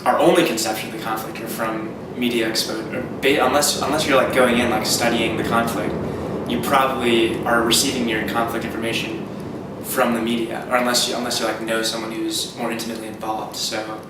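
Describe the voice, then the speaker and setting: Hesitant young man, monotone. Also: mid-range voice, fairly quick, hardly any pauses. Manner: hesitant, repeats words, many "like" fillers. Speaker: interviewee. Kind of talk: casual interview answers. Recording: very echoey room, distant mic, noticeable noise.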